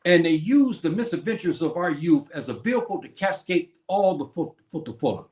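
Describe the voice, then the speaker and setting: A man's voice: fairly high pitch, lively intonation, a little fast, darkish tone, reverberant. A man hosting a stream, lecturing on history in a preachy way and posing questions that he answers himself. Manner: preachy, asks and answers his own questions. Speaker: host. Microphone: streaming setup.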